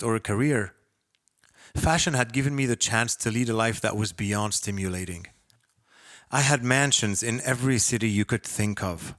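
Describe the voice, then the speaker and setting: A man from Lebanon reading his own short story as a first-person narrator, with expressive, dramatic delivery. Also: mid-range voice, no room sound, studio quality.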